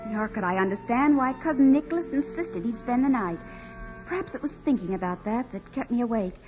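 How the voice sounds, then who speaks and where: An actress playing a naive, tender young woman, earnest and gentle, in 1940s radio drama style. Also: medium pitch, lightly acted. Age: young adult. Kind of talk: 1940s radio acting, dramatic narration and dialogue. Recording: muffled old radio broadcast.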